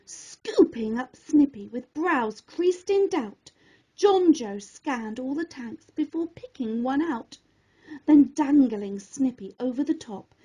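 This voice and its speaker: A woman storyteller narrating a rhyming children's story in an expressive, theatrical and deliberate way. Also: high voice, slightly rough, rough recording.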